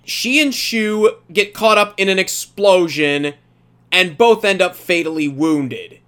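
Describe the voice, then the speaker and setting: A man's voice: high-pitched, lively intonation, slow, bright, forceful tone, smooth. A man leads a casual review podcast. He speaks emphatically and with strong opinions. He slips into mock quotations as he retells things. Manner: emphatic, mock-quoting storyteller. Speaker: podcast host leading an anime review.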